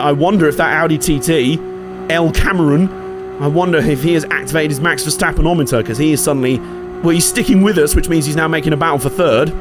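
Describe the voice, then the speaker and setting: A man with a somewhat high voice, a bit hoarse from a cold, chatting through a racing game. He talks loosely, joking and swearing as he reacts to each corner. Game music plays clearly underneath. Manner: chatty, jokey, sweary, reacting aloud to the race. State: slightly hoarse, coming down with a cold. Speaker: gaming channel host. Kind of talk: casual racing game commentary.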